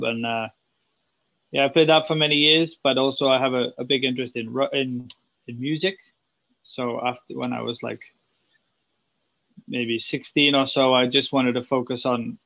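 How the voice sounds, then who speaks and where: A young man with a Welsh accent telling his story in a casual round-table chat, relaxed and rambling with fillers. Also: medium pitch, creaky, dry recording. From Wales. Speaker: student guest. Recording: dull lo-fi line, dry.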